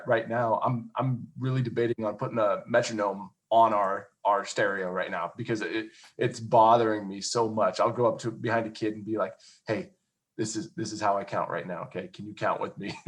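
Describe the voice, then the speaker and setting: Casting: laid-back male coach, lowish voice, chatty and laughing. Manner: casual, laughs often, many fillers like "like". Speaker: strength coach. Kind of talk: roundtable discussion.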